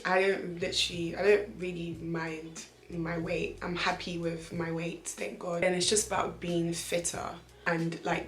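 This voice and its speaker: Animated young woman, slightly croaky, echoey room. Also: lowish voice, a little hiss or hum. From Nigeria. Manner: animated, laughs often, repeats phrases for emphasis. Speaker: vlogger. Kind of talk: casual chatty vlog talk.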